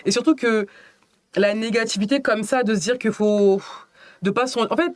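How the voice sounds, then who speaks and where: A woman's voice: a little high, steady tone, normal speed, dry recording, studio quality. A woman from France speaking as an interview guest, casual and emphatic, often correcting herself as she explains. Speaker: podcast guest.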